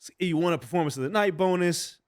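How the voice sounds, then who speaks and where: A man's voice: high voice, fast talker. A man with an American accent co-hosting a sports podcast, casual, conversational and opinionated. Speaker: podcast co-host. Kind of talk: casual sports commentary and interviewing.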